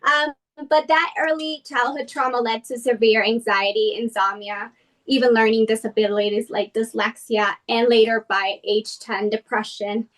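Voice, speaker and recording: Earnest, high-voiced woman, mic somewhat distant. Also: steady tone, normal speed, a bit bright. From Colombia, raised in Boston. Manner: earnest, with many fillers. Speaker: podcast guest. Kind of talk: personal storytelling in interview.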